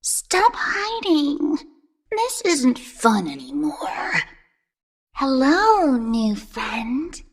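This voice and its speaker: A woman voicing a creepy, childlike animatronic in a video game, playful and sinister, with slow, menacing taunts. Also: high voice, sing-song, heavily acted. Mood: playfully menacing. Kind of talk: video game character voice lines.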